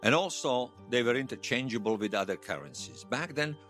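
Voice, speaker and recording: Wry, animated male storyteller. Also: mid-range voice, a little fast, soft background music. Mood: wry, explanatory. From Bosnia. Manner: wry storytelling with colourful folk sayings. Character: wry, folksy Bosnian man recounting his past to interviewers. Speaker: storyteller being interviewed. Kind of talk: audio drama monologue in interview form.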